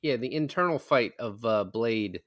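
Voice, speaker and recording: Male podcast co-host, mid-low easy register, conversational explainer, dry recording with little room sound. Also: animated, normal speed, no room sound. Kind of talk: casual film discussion.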